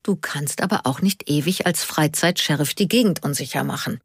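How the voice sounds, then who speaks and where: Woman voicing a sensible, cautious husky older man. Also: low-pitched, moderate pace, no room sound, studio quality, heavily acted. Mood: reproving. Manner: plain, reasoning, reluctant. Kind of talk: audiobook character dialogue.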